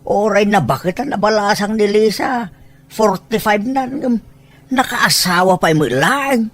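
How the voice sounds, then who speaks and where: A woman's voice: medium pitch, measured pace, lightly acted. A woman playing a gossipy, boastful older mother in an Ilocano family drama, talking in an exclaiming manner.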